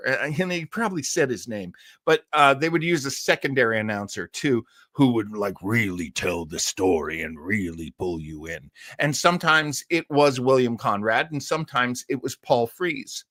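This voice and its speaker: A man's voice: medium pitch, expressive, moderate pace, sharp tone, no room sound, studio quality. A man hosting an old-time radio listening show, chatting casually in a rambling way full of asides. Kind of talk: casual live-stream chat.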